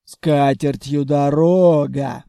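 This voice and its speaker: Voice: very slow, dark tone, no room sound, studio quality. Чтец изображает грубую эльфийку с хриплым басом. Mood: surly, gruff. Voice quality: hoarse bass. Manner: grumbling, slangy, curt. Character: frail elf woman, gruff troll-raised chain smoker, rude, surly.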